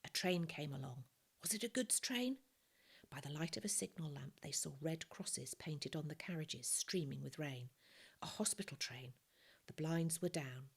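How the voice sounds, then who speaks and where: A woman's voice: low-pitched, animated, normal speed, gravelly, husky. A woman narrating a children's novel as a scripted reading.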